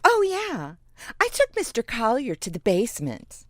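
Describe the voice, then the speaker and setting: A woman's voice: on the high side, wildly swooping pitch, dry recording, clean studio sound, full character voice. Ditzy, swooping young woman. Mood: dazed, innocent. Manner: airy, halting, clueless. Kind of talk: comic audio drama acting.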